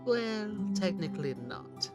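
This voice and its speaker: A man voicing a sly, courteous, menacing gentleman hunter in a comic dub, speaking in a theatrical, genteel way. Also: high voice, big pitch swings, slow, full character voice. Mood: persuasive, sly. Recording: background music under voice.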